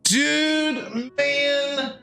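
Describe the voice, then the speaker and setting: A man's voice: very high voice, fairly even intonation, drawn-out words, vocal fry, bright, forceful tone, faint music behind. A man reacting live to a video game as a streamer, talking back to it in exasperated slang.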